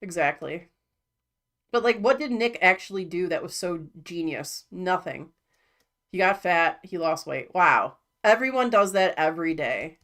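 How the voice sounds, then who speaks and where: A woman's voice: fairly low pitch, a bit bright. Blunt, cursing woman streamer. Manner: blunt, profane, exasperated. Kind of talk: casual reaction commentary.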